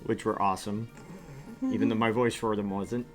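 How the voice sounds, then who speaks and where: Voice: medium pitch, little pitch movement, moderate pace, soft background music. A middle-aged host opening a gaming stream, rambling with fillers and joking at their own expense. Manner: rambling, filler-heavy, self-deprecating. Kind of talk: casual stream host talk.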